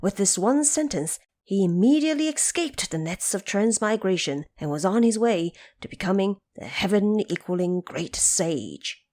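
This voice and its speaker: A woman storyteller giving a dramatic, expressive scripted narration of a tale. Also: medium pitch, moderate pace, few pauses. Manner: expressive, theatrical.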